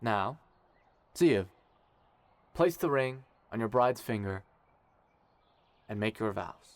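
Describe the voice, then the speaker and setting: A man playing an earnest young male ruler in an audio drama, speaking in a measured, sincere way. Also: lowish voice, lively intonation, dry recording. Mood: solemn, warm.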